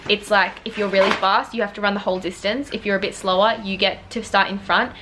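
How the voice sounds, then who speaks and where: A woman's voice: medium pitch, steady tone, quick pace. A woman in her twenties with an Australian accent, chatting casually and slangily to a vlog camera. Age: 20s. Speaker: vlogger, sprinter. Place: small motel room, sometimes just outside it. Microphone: handheld vlog camera at arm's length. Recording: handheld camera audio with background noise.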